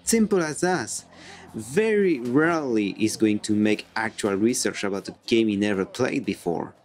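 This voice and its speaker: French-accented man with lively pitch. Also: moderate pace, faint music behind. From France. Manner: sarcastic, animated delivery. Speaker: YouTube reviewer. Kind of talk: scripted video essay. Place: home room with bookshelves.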